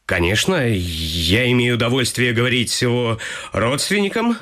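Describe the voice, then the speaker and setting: A man's voice: medium pitch, expressive, normal speed, a bit bright, close to an everyday voice. An actor playing a shy, lovestruck young man who muses nervously and formally in a radio comedy. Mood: uneasy. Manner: introspective, formal asides. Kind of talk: theatrical radio comedy acting.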